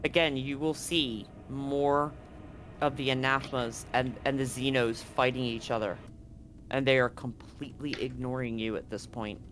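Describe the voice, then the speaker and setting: A man's voice: mid-range voice, steady tone, moderate pace, fairly bright tone, slight background noise. A man running a tabletop horror game as game master, narrating loosely and correcting himself as he goes. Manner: loose, conversational, self-correcting.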